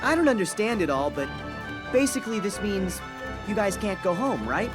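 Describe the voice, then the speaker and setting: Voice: medium pitch, big pitch swings, normal speed, music in the background, natural voice. An actor playing an eager, plucky teenage boy in a video game, earnest and straightforward. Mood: determined.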